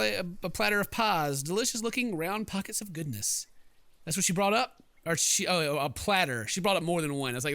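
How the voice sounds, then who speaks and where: A man's voice: high-pitched, creaky, bright, forceful tone, no echo. A man doing casual let's play commentary, jokey and rambling, reading game text aloud as he goes. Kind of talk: casual gameplay commentary with read-aloud narration.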